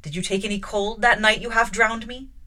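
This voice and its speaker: Female narrator as an imperious middle-aged gentleman; firm, probing, commanding. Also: medium pitch, steady tone, a little fast, close to an everyday voice. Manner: imperious, probing, louder than her narration.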